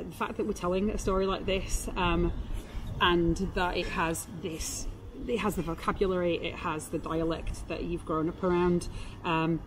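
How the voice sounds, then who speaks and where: Woman from Yorkshire, slightly low voice, chatty and eager, crowd chatter behind. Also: low-quality recording. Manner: chatty, enthusiastic, laughs often. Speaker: auditionee. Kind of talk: casual interview chat.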